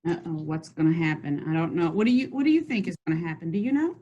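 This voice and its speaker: A woman hosting a children's read-aloud, encouraging and slow, stretching words as she reads. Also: lowish voice, dull audio. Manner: warm, encouraging, slows and stretches words when reading. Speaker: school district host reading to children. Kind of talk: read-aloud lesson and chat.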